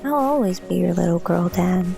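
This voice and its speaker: A woman's voice: on the low side, lively intonation, normal speed, creaky, dark tone. A woman playing a curious, cheeky young daughter of a soldier in an audio drama, inquisitive and teasing. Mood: hesitant, sincere. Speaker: voice actor. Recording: faint music behind the voice.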